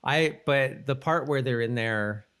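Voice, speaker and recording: A man's voice: mid-range voice, measured pace, fairly bright tone, clear, resonant tone. A man co-hosting a podcast, casually talking through a TV show in laid-back, slangy speech. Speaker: podcast co-host. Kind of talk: casual TV recap chat.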